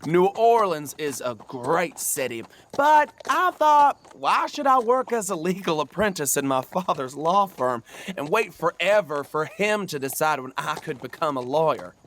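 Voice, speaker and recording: An actor playing a chatty, cocky young fortune seeker in an audio drama, wheedling and stammering when challenged. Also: male voice, on the high side, very animated, a little slow, fairly bright tone. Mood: complaining.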